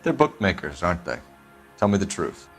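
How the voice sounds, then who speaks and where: An actor playing an earnest, persistent 1940s American police detective in a crime game, with formal, clipped questioning. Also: male voice, deep voice, sing-song, a little fast. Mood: pressing. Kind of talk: video game acting, period crime drama. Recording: music behind the voice.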